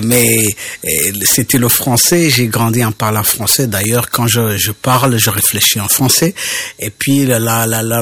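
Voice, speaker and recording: Husky middle-aged Rwandan man, Kinyarwanda native, lively and halting, mid-pitched. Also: dry recording. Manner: animated, repeats words, hesitant fillers. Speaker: interviewee, singer. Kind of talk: casual interview conversation.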